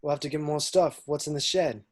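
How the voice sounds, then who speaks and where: Laid-back, dim but gung-ho man for a film script woman performing, deep-set raspy voice, casual and blunt. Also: fairly even intonation, a little fast, darkish tone. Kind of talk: amateur screenplay table read.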